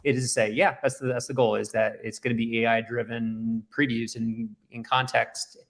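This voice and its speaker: A man's voice: mid-range voice, flat delivery, moderate pace, gravelly. A man hosting a tech show in a casual presenting style, explaining things in wordy run-on sentences. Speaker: show host.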